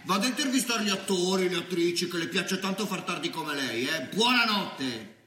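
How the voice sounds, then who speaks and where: Sharp, condescending senior official. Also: male voice, high voice, lively intonation, moderate pace, roomy sound. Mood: mocking, sarcastic. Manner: sarcastic, officious, peppered with tag questions. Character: condescending, bullying male senior official pressuring police and press. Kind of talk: theatrical radio drama acting.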